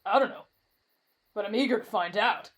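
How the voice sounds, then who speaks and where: A woman's voice: medium pitch, moderate pace, close to an everyday voice. A woman voicing a brash, reckless teenage boy in an anime-style roleplay drama, cocky, blunt and dismissive. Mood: matter-of-fact, ominous. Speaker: voice actor in roleplay audio.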